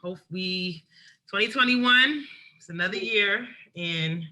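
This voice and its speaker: Bright-voiced woman podcast co-host. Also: medium pitch, takes it slowly, slight background noise, rough recording. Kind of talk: casual chat.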